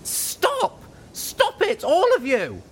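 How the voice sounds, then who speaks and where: A man voicing a young female unicorn who pleads and shouts on the edge of tears, in an audiobook. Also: sing-song, takes it slowly, a little hiss or hum. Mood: desperate shouting. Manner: shrill, pleading, close to tears.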